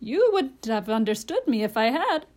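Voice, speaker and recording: A woman voicing a proud, bossy, opinionated female parrot in a high voice, speaking emphatically as if giving lessons. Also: sing-song, normal speed, a little mellow. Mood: instructive. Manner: emphatic, instructive.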